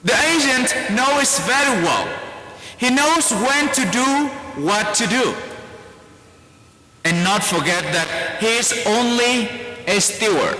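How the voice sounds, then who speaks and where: A priest from Vietnam with a Vietnamese accent, giving a slow, deliberate homily in a large church and spelling out words. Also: male voice, very high-pitched, bright, forceful tone. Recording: echoey church hall.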